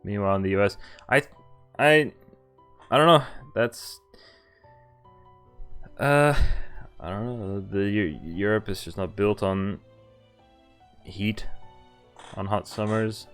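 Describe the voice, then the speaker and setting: A man's voice: on the low side, slow pace, pauses often, fairly bright tone, smooth. A young man live-streaming a game, switching between reading narration aloud and casual, jokey, hesitant asides. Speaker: streamer playing and reading a visual novel. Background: game music.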